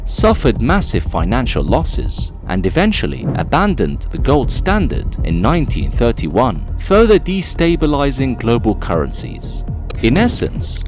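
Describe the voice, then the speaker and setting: A man reading scripted documentary narration in a dramatic, emphatic storytelling manner. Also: mid-range voice.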